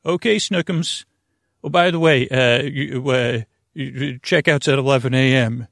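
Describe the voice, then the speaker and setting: Man playing a creaky, sugary doting spouse. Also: mid-range voice, a bit bright, no room sound, studio quality. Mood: sweetly doting. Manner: halting, sugary, affectionate. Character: cutesy, doting spouses chatting sweetly over breakfast.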